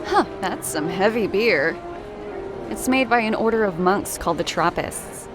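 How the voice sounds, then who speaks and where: Woman playing a wry, teasing criminology student over loud music. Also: mid-range voice, lively intonation, measured pace, close to an everyday voice. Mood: wry, informative. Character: bright-minded, teasing female criminal psychology student and history buff. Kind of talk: video game character dialogue.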